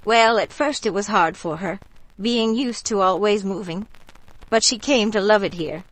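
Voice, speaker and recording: Woman acting bayou local, folksy storyteller, gentle, mystical, unhurried, medium pitch, dry sound, radio drama. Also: no echo, close to an everyday voice, poor audio. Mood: fond, reminiscing.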